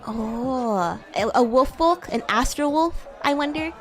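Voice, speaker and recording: A woman roleplaying a playful, bratty demon classmate on a livestream, chatting in a halting, improvised way. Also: sits high, expressive, soft background music. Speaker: streamer and VTuber host.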